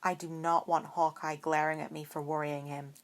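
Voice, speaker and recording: A woman's voice: deep voice, flat delivery, normal speed, close to an everyday voice. A woman voicing a stubborn, sarcastic schoolboy wizard with dry retorts in an audiobook-style story. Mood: defensive, casual.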